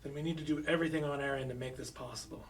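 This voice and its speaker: A man's voice: mid-range voice, steady tone, very fast, close to an everyday voice. A man playing a scheming, bossy college dean in an audio drama, clipped and commanding. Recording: distant mic with faint noise.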